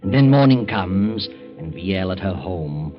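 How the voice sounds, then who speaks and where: A man's voice: low voice, animated, unhurried, music in the background. A man acting as the solemn storyteller of an old-time radio crime drama, with dramatic scripted narration. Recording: dull muffled old radio recording.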